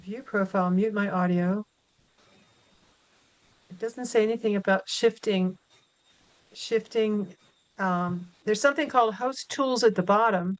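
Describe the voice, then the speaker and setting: A woman's voice: mid-range voice, a little slow, long pauses, rough recording. A woman in a virtual meeting, chattily reading out menu options as she tries to find a setting. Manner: chatty, reads menu items aloud. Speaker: commission member, temporary meeting host.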